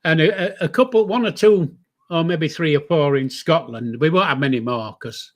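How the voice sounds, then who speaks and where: An older man from East Yorkshire with a softened Yorkshire accent, chatting and rambling on a livestream with a friend. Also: a little high, normal speed. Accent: Yorkshire, softened by years in the south. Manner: chatty, rambling, laughs often, reads out viewer names. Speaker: livestream co-host. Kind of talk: casual livestream chat.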